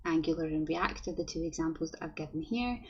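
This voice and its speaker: A woman's voice: sits low, fast talker, dull audio, a little hiss or hum. A young woman with a Glasgow accent, a developer giving a friendly, chatty online conference talk and often repeating words as she goes. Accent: Scottish, Glasgow.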